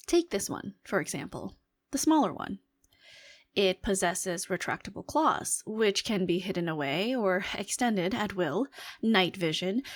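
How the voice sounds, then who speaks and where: A woman playing an alien head scientist in a scripted audio roleplay, briefing her commander in a formal, earnest, analytical and emphatic way. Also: medium pitch. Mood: baffled. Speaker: voice performer. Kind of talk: scripted fictional ASMR roleplay monologue.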